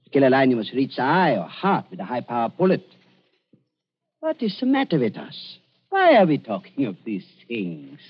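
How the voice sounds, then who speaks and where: An actor playing an elderly German doctor in a radio drama, fawning and falsely soft-hearted, with halting, repetitive speech and a German accent. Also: male voice, mid-range voice, huge pitch swings, husky, exaggerated voice. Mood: uneasy. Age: older. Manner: fawning, halting, repeats words. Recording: dull lo-fi radio recording.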